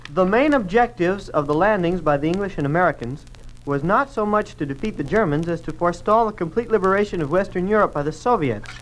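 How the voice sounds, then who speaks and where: A woman's voice: on the low side, lively intonation, quick pace, natural voice, rough recording. A woman playing an earnest, confused schoolboy son with an eager manner in an old radio drama. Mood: reading aloud. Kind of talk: mid-century radio drama acting. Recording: old radio recording with background noise.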